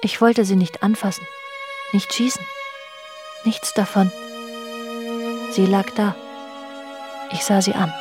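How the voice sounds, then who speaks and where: A woman's voice: mid-range voice, fairly even intonation, slow pace, long pauses, lightly acted. An actress playing a wounded, brooding woman who recounts a secret love affair in controlled, literary German radio drama narration. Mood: reflective. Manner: controlled, literary first-person telling. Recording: radio drama with music underneath. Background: music.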